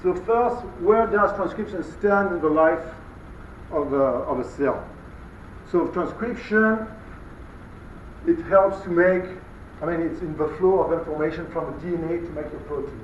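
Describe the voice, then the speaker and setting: French-native guy giving a lecture, bit high voice, far off, lots of uh and restarts. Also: slight background noise. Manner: halting, many fillers and restarts. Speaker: guest lecturer. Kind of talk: university lecture. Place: large lecture hall, indoors. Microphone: camera far from speaker. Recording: muffled, far-off, echoey lecture hall.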